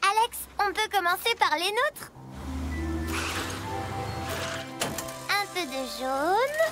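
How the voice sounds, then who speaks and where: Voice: very high-pitched, very animated, a little slow, exaggerated voice. A voice actor playing an eager, playful little truck kid in a children's cartoon, exclaiming with enthusiasm. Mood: curious. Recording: loud music behind the voice.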